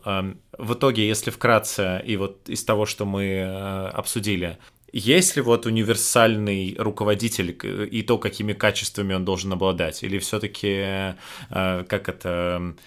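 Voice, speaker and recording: A man with a somewhat low voice asks long, meandering questions. He stops and starts often as he circles toward his point. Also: fairly even intonation. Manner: rambling, halting questions. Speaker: interviewer. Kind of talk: casual interview questions.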